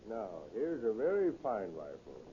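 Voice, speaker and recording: Nervous store clerk, muffled old radio. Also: male voice, lowish voice, huge pitch swings, measured pace. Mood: obliging. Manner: hesitant, stammering, deferential. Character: nervous, polite male general-store clerk held at gunpoint. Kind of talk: 1930s radio crime drama acting. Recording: dull, noisy old 1930s radio recording.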